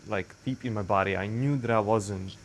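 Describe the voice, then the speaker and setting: A man's voice: on the low side, normal speed, slight background noise. A young man from Serbia with a Serbian accent, speaking English as a second language in a rambling, self-correcting casual monologue to the camera. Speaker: vlogger.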